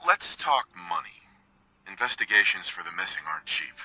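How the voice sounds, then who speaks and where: Flippant investigator, crisp forceful tone, somewhat low, blunt, game protagonist dialogue. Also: male voice, very animated, normal speed, no room sound, full character voice. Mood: hard, bargaining.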